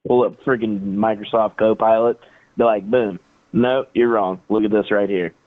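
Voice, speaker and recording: A man with a fairly low voice and an American accent talks as a caller to a live show. He is casual and emphatic, often voicing imagined remarks. The sound is narrow and tinny, as over a phone. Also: fairly even intonation, normal speed. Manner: colloquial, emphatic, acts out quoted remarks. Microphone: phone call. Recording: thin, phone-like line.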